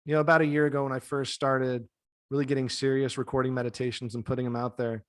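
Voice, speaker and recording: A man's voice: mid-range voice, little pitch movement, fast, dry recording, studio quality. A man in his thirties who teaches yoga, co-hosting a podcast over a video call from a dim home room, speaking in a laid-back, soothing and joking way. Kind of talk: casual podcast chat. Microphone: desk mic, video call.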